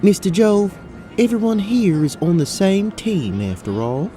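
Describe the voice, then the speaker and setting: A man acts a polite, soothing woman in a fantasy audio drama. The voice is high and mellow, with very animated intonation. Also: a little slow, faint music behind. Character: mild, polite, conciliatory woman at magic academy. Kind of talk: audio drama character acting.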